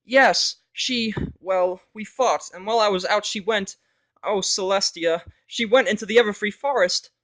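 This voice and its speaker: Pony businessman and distraught dad played by a male reader, strained high pitch, pleading, halting, fan audio drama. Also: fairly bright tone. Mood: distraught, ashamed. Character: proud business stallion, a desperate, pleading father. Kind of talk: fan fiction audio drama character acting.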